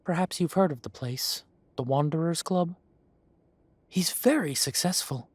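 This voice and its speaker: A man playing a genial, long-lived elven café owner who reminisces in an unhurried, chatty way, in a roleplay audio drama. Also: fairly high pitch, breathy edge, no echo. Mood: genial, reminiscing. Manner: unhurried, musing, conversational with pauses.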